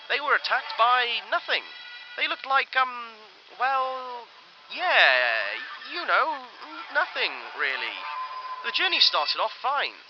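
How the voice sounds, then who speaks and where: A man's voice: very high-pitched, background noise, heavily acted. A man playing a flippant, theatrical newsreader in a comic spoof bulletin, mock-serious and sardonic. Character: flippant, sceptical radio newsreader in a fantasy frontier. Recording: dull band-limited sound over clear music.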